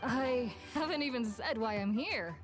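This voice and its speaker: Lively, higher-pitched young woman game character. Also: measured pace. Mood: polite. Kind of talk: video game voice acting. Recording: game music behind the voice.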